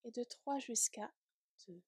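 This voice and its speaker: A woman leading a guided yoga nidra relaxation in a soft, breathy, soothing voice, gently trailing off at times toward a whisper. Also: fairly high pitch, unhurried, no echo, clean studio sound.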